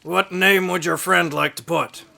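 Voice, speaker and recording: A man's voice: sits high, fairly even intonation, unhurried, creaky, bright, forceful tone, full character voice. A man playing a curt, businesslike newspaper editor in an audio drama, matter-of-fact and dismissive. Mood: brusque, businesslike.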